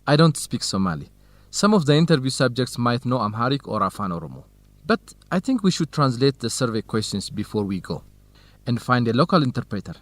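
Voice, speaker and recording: A man's voice: mid-range voice, sing-song, fairly quick, no room sound, lightly acted. Polite Ethiopian man, dry close sound acted. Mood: practical, helpful. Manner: polite, earnest. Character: polite, modest Ethiopian man, helpful research partner. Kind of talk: radio drama acting, measured educational dialogue.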